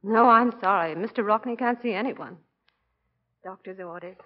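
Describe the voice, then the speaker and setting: A worried, protective wife, played by a woman in 1940s radio-drama style. She is polite, with a medium-pitched voice. The old recording sounds dull and band-limited. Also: moderate pace, natural voice.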